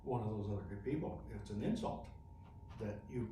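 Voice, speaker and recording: A man's voice: on the low side, a little fast, dark tone. Middle-aged man, chatty veteran interviewee, laughs through his stories, miked from afar in a noisy room. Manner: chatty, laughs often, restarts mid-phrase. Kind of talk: casual storytelling interview. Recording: echoey room, distant mic, noisy.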